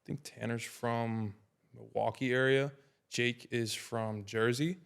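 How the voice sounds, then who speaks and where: A young man in his twenties, from the Hudson Valley in New York, talks in a loose, slangy way that is full of "like" and "you know." His voice is clean and smooth, and it sits at a middle pitch. He sounds like a guest in a relaxed podcast chat. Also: slow. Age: 20s. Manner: laid-back, slangy, many fillers. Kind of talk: casual chat.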